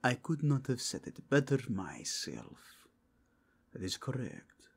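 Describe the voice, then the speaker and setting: Guy doing solemn werewolf inquisitor cardinal, whispery n gravelly, slow formal talk, asmr roleplay. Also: medium pitch, big pitch swings, pitched above the performer's own voice, heavily acted. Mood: stern, deliberate. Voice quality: breathy, whispery. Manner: formal, slow, deliberate rhetorical questioning.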